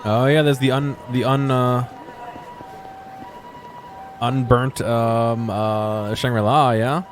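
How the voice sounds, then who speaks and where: A man giving casual, off-the-cuff video game commentary, thinking aloud in halting fragments and questions to himself. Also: medium pitch, fairly even intonation, a little hiss or hum.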